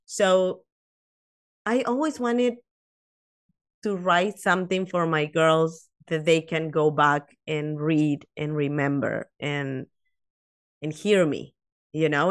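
A woman's voice: lowish voice, takes it slowly. A woman in her fifties, not a native English speaker, chatting as a guest on a podcast and telling stories with many restarts and asides. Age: 50s. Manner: animated storyteller, frequent restarts and "you know". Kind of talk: casual interview chat.

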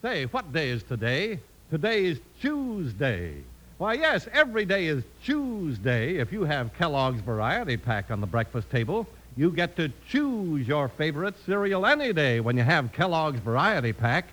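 A man's voice: a little high, big pitch swings, normal speed, fairly bright tone, rough recording. An American man announcing on a 1950s radio show in an emphatic, polished, salesmanlike style. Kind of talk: 1950s radio announcing, scripted sponsor reads.

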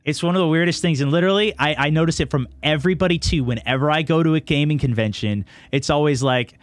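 A man's voice: on the high side, fast talker, no room sound. A man hosting a gaming podcast in a casual, chummy way, chatting informally with his guest. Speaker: podcast host.

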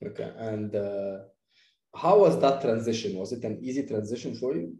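Echoey, mellow, low-voiced man hosting a podcast. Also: expressive, moderate pace. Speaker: podcast host. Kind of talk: interview podcast, conversational. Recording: roomy, echoing sound.

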